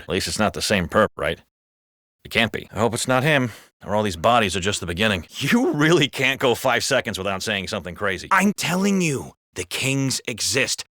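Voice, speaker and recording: A man with an American accent playing a wisecracking, foul-mouthed city cop in video game banter, colloquial and expressive. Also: on the low side, dry recording, studio quality. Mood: uneasy. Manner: expressive, colloquial, comically exaggerated.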